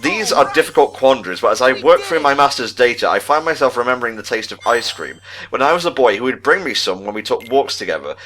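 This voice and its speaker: A man's voice: mid-range voice, lively intonation, fast, a bit bright, lightly acted. A man voicing a brooding male scientist in a formal, grave dramatic reading from a video game. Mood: wistful, remorseful. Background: game music.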